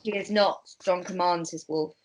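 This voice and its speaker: A woman's voice: lowish voice, fairly even intonation, normal speed, slight background noise, rough recording. A woman reading scripted narration and stage directions in an amateur table read.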